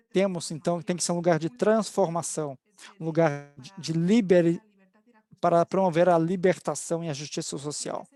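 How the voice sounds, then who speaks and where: A woman's voice: low voice, mellow. A woman in her forties from Spain, speaking Portuguese with a Spanish accent and summarizing a webinar panel over a video call, hesitant and full of restarts. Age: 40s. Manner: hesitant, with frequent restarts and fillers. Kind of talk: thoughtful spoken summary. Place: home, online event.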